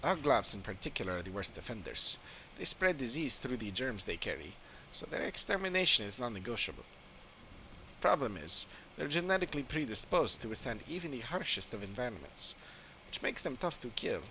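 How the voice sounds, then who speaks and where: A man's voice: medium pitch, big pitch swings, a little fast, bright, forceful tone, slight background noise, low-quality recording. A man voicing a friendly, businesslike pest-control cleaner in video game dialogue, explaining things with light animation. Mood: friendly, explanatory. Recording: game music behind the voice.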